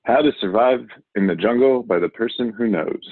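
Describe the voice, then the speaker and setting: A man giving an expressive, theatrical read-aloud of a children's storybook. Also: medium pitch, fairly quick. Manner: animated, theatrical delivery. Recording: narrow, phone-like audio.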